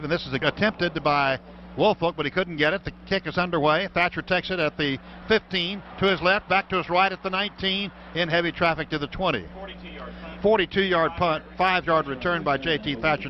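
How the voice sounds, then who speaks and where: An American man calling football play-by-play on the radio in clipped running descriptions that get excited on big plays. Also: on the high side. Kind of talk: live American football commentary. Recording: dull, noisy radio broadcast from stadium.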